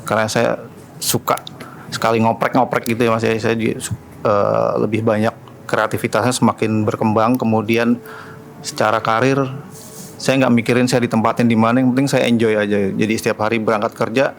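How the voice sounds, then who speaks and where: A man's voice: lowish voice, little pitch movement, moderate pace, creaky. A man with a greater Jakarta accent giving a casual spoken testimonial about his own experience. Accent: Indonesian, greater Jakarta. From Bekasi, Indonesia. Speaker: training participant giving a testimonial. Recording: faint background noise, room ambience.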